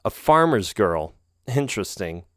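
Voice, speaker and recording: A man's voice: on the low side, very animated, measured pace, no echo, clean studio sound. A man voicing a haughty, condescending older woman, the boyfriend's mother, in a read-aloud romance story. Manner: cold, condescending.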